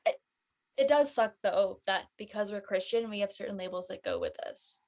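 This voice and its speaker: Woman as a chatty high school girl, curious, casual, mid-pitched, live drama reading. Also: normal speed, vocal fry, darkish tone, lightly acted. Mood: casual, inquisitive.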